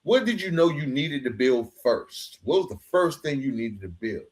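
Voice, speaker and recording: A man's voice: mid-range voice, big pitch swings, moderate pace. A man hosting a podcast interview, speaking casually and reflectively, affirming his guest and punctuating his points with slang. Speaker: podcast host. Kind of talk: conversational interview with reflective commentary.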